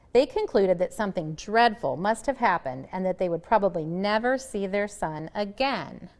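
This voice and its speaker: Female picture-book reader, animated and dramatic, with very varied intonation. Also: medium pitch. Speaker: storybook reader. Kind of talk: expressive scripted narration of a children's picture book.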